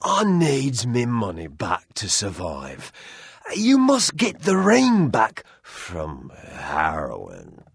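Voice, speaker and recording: RPG townsman: wily rustic dealer, low, husky, slow, very animated intonation. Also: dry recording, studio quality, heavily acted. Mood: wily, bargaining. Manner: colloquial, drawn-out bargaining delivery. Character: shrewd, rustic deal-making islander in fantasy town. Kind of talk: fantasy game character acting.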